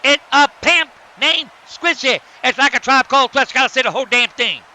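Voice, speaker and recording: A man voicing a cocky, loud-mouthed, excitable pimp wrestler in a cartoon. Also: extremely high pitch, moderate pace, a little hiss or hum, exaggerated voice. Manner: swaggering, excitable, shouty.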